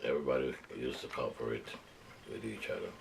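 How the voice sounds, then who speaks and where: A Jamaican man in his seventies recalling his village childhood in an oral history interview, unhurried and reflective. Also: very deep voice, expressive, gravelly, a little hiss or hum. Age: 70s. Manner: unhurried, reflective storytelling with hesitations.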